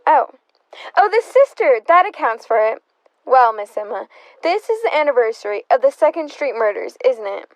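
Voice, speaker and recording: A woman's voice: sits high, sing-song, moderate pace, no echo, rough recording. A young woman acting a brash, nosy, slangy frontier reporter in an audio stage play, bold and pushy. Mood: breezy, pushy. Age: young adult.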